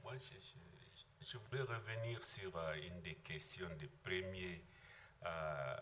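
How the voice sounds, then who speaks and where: An older man from Mali with a Malian accent, speaking in a reflective panel discussion in a thoughtful, halting way with frequent restarts. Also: low-pitched, a bit bright, a little hiss or hum.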